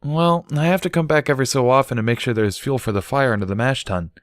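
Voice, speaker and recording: A man's voice: mid-range voice, fast, no echo, studio quality, pitched above the performer's own voice, natural voice. A man voicing a cheerful, playful and chatty adult female pony in a storybook reading. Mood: practical.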